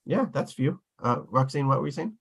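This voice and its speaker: A man's voice: medium pitch, lively intonation, brisk, creaky, slightly rough. A man moderating a casual group discussion, thinking aloud in a tentative way.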